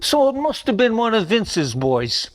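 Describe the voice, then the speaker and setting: A man playing a blunt, menacing 1940s gangster thug in a radio noir parody, using rough tough-guy slang. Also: sits high, sing-song, a little slow. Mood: menacing, suspicious.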